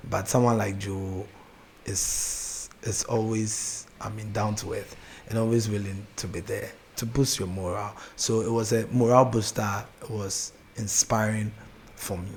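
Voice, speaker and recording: A young man with a Ghanaian accent speaks in a lowish, soft-spoken voice, pausing and restarting his sentences as he chats with an interviewer. A faint ambient hiss sits underneath. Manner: soft-spoken, laughs easily, hesitant restarts. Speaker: gospel artist guest. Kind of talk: casual interview chat.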